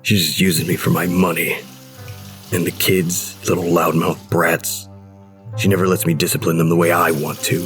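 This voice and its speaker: A deep-voiced, brooding husband played by a male actor in an audio horror drama. He is gruff and curt, bitter and belittling toward his family. Clear music plays behind his low voice. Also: flat delivery, normal speed, fairly bright tone. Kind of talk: audio horror anthology acting.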